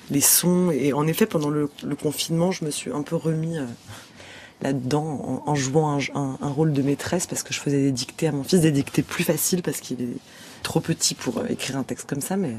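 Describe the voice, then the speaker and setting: A woman's voice: low-pitched, steady tone, a little fast, a little mellow, a little hiss or hum. A young actress talking thoughtfully in a radio studio interview, searching for her words as she goes.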